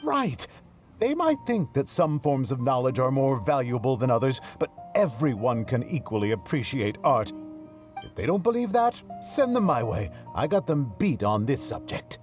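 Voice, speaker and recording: A man's voice: mid-range voice, sing-song, moderate pace, soft background music, close to an everyday voice. A man playing a blunt, sarcastic troupe friend. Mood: indignant. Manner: emphatic, sarcastic. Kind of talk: video game voice acting.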